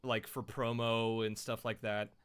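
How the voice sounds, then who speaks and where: Loud, chatty male streamer. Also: lowish voice, flat delivery, measured pace, clear, resonant tone. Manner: loud, chatty, rambling with fillers. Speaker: streamer and voice actor. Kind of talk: casual live-stream chat.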